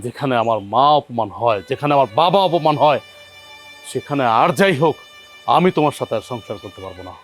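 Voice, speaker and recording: A man's voice: mid-range voice, expressive, fairly quick, soft background music, close to an everyday voice. An actor playing a young husband who angrily confronts his wife and her sister for insulting his father. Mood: furious. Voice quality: shouting, indignant. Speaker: actor in a family drama.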